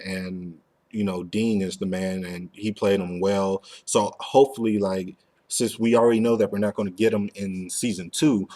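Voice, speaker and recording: A man with a fairly low voice hosts a fan podcast. He talks in a loose, informal way and drops in slang as he goes. The delivery is that of casual conversation. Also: warm tone. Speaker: podcast host. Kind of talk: casual fan discussion of an animated series.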